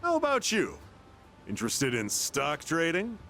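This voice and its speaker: A man's voice: on the high side, huge pitch swings, a little slow, sharp tone, soft background music, exaggerated voice. An actor playing a boastful male company owner who brags and chuckles in a pushy, salesy way. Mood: smug. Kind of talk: video game voice acting.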